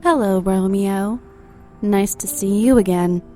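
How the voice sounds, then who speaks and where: A woman's voice: mid-range voice, slow pace, dark tone, soft background music, natural voice. A woman playing a cold, taunting vampire who was once a loving wife, in an audio drama. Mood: mocking. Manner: taunting, accusing. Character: cold, mocking young wife turned immortal vampire.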